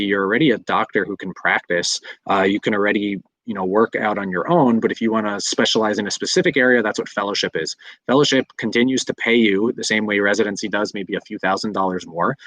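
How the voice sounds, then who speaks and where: A man's voice: fairly low pitch, a bit bright, no room sound, poor audio. A man in his thirties, a doctor in training, answering viewer questions in a live session, explaining at length with frequent fillers and restarts. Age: 30s. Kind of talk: live Q&A talk.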